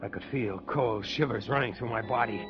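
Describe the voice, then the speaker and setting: An actor playing a fearful, haunted treasure diver, in dramatic old-time radio style. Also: male voice, lowish voice, brisk, natural voice. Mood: grim, haunted. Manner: dramatic, tense delivery. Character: haunted, fearful male treasure diver telling his story. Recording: dull vintage radio recording with music behind.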